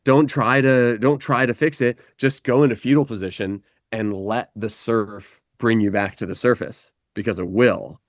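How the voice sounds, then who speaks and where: A man who is a guest on a podcast, speaking reflectively and reaching for images, his pace moving between slow and quick. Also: on the low side, no echo, clean studio sound. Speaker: podcast guest, life guide. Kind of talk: reflective conversation.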